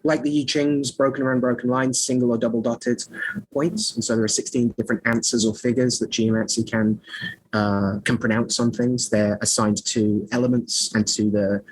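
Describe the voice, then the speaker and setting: A man's voice: medium pitch, flat delivery, normal speed, breathy edge, rough recording. A man being interviewed as an author and scholar, talking in a rambling way with lots of fillers, restarts and self-mocking asides. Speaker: author and scholar of magic, podcast guest. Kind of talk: conversational interview.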